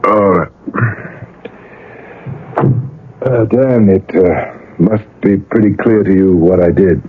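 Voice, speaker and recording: An actor playing an anxious, possessive middle-aged father in a 1970s radio drama, earnest and halting as he pleads. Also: low-pitched, slightly rough. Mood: confessing. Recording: muffled vintage radio broadcast.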